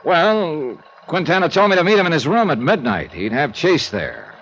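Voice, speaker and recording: An American actor playing a world-weary, sympathetic insurance investigator in a 1950s noir radio drama, with clipped, colloquial delivery. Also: male voice, on the high side, huge pitch swings, normal speed, a bit bright, slight background noise, heavily acted. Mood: brisk, wry. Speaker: lead detective and narrator. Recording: dull vintage radio recording.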